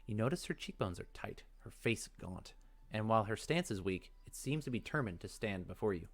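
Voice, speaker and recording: A man reading fantasy game text aloud as dramatic narration, in a measured, expressive storytelling manner. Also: lowish voice. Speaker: let's play host.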